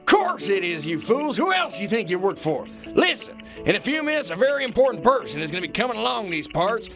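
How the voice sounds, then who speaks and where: An actor playing a pompous, folksy, bullying kingpin boss who boasts in a drawl, in a satirical radio drama. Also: male voice, high-pitched, very animated, a little fast, over music. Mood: irritable, domineering.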